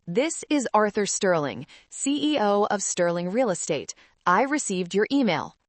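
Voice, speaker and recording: A woman's voice: medium pitch, very animated, unhurried, no room sound. A woman voicing a commanding male company boss in a story, formal and authoritative.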